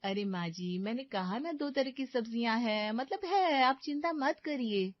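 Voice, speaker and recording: A woman's voice: fairly high pitch, expressive, measured pace, dry recording, pitched above the performer's own voice. Woman as a young bahu in a cartoon, coaxing but fed up, determined, dry dull-ish recording. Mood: breezy. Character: determined, coaxing young Indian daughter-in-law. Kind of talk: cartoon moral story acting.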